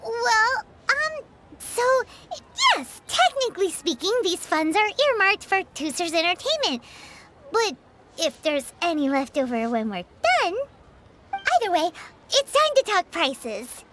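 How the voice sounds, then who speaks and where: A woman's voice: very high voice, big pitch swings, unhurried, background noise, heavily acted. A woman voicing a tiny, chirpy, bossy childlike fairy companion in a video game. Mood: chatty, scheming. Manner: refers to herself by name. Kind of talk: animated video game voice acting.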